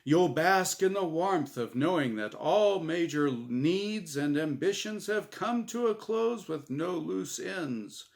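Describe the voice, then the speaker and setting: A man voicing a heavenly game show's prize announcer in a story reading, with salesy, drawn-out promotional patter. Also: a little high, expressive, vocal fry, pitched above the performer's own voice, full character voice. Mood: grandly promotional. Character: smooth-selling male game show prize announcer in heaven.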